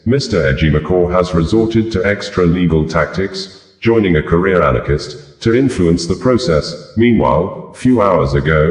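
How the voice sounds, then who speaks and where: A man reads scripted news in a formal, measured manner. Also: low voice, darkish tone, reverberant. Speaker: news reader.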